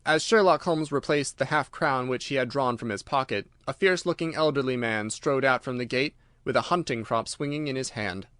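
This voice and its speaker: A man's voice: medium pitch, a little fast, few pauses, a bit bright, clear, resonant tone, dry recording. A man reading scripted narration of a classic detective story.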